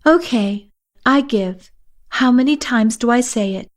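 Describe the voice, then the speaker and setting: A woman's voice: on the high side, a little slow, close to an everyday voice. Woman playing a doubtful, questioning story character. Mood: skeptical. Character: skeptical, hesitant listener drawn into a friend's game. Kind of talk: acted audiobook character dialogue.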